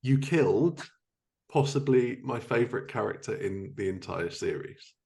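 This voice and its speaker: A man's voice: medium pitch, expressive, normal speed, vocal fry, a little mellow. A man hosting a podcast, chatting casually as he interviews his guests. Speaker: podcast host.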